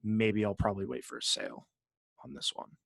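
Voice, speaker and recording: A man co-hosting a gaming podcast in casual chat, joking and sarcastic, swearing freely and often halting to rephrase. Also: lowish voice, animated, vocal fry, a bit bright, dry recording, studio quality. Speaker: podcast co-host.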